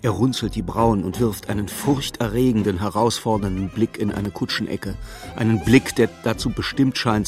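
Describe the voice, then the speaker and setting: A man narrating a radio play in scripted, literary present-tense prose. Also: fairly low pitch. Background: music.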